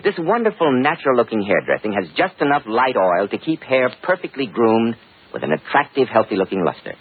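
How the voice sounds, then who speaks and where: Rough-voiced 1940s male radio announcer, swooping pitch. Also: big pitch swings, a little fast. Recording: tinny, band-limited 1940s radio recording.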